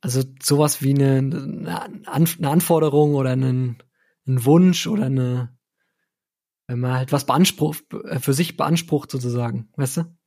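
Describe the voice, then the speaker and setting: A man's voice: medium pitch, moderate pace, mellow. Casual male podcast co-host. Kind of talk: casual podcast chat, reading out own lyrics.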